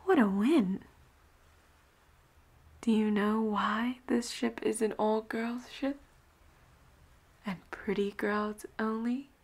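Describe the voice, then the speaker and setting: Woman acting a flirty, breathy female pirate captain. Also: on the high side, slow pace, pauses often, darkish tone. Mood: teasing. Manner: flirtatious, teasing, breathy drops into whispers. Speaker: voice actor in audio roleplay. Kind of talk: intimate audio roleplay acting.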